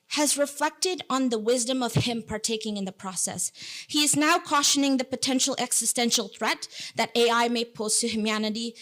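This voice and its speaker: A woman with a slightly high voice, speaking English as a second language after Indonesian. She gives a keynote in an earnest, emphatic way, slipping in playful asides to the audience. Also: fairly bright tone. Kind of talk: conference keynote talk.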